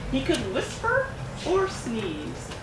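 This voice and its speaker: A woman author giving a dramatic, expressive read-aloud of a rhyming picture book at a gallery event. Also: sits high, slow pace. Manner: expressive rhyming delivery with bouncy emphasis. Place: art gallery event room. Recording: echoey room, distant, noisy.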